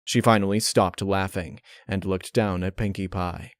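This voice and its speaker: A man reading a dark fan fiction story as narrator, in a measured, dramatic way. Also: sits low, steady tone, no echo, studio quality. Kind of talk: dark audiobook-style narration.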